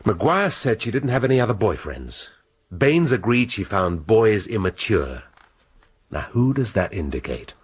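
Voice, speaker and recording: An actor playing a brooding, bookish senior English detective who speaks in a measured, reflective way with dry wit. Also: male voice, lowish voice, sing-song, husky, heavily acted. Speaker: police detective inspector. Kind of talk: radio drama.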